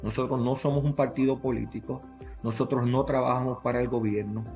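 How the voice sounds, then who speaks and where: A man's voice: mid-range voice, steady tone, fairly quick, a little mellow, faint music behind. A middle-aged man, a native English speaker talking in Spanish, giving earnest, emphatic interview answers that repeat phrases as he lists points.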